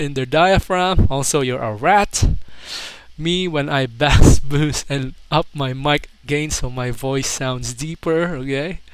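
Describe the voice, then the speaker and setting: A man's voice: on the high side, fairly bright tone, clear, resonant tone, no room sound. A young adult man casually reading out internet comments as a commentator, with mocking, incredulous, slangy asides. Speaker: video commentator.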